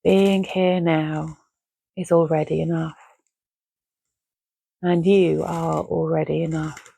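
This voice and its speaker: Slow, mellow woman guiding meditation. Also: deep voice, level tone, vocal fry, breathy edge. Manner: gentle, unhurried, with long pauses between phrases. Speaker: meditation guide. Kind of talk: guided Yoga Nidra relaxation, soothing and measured.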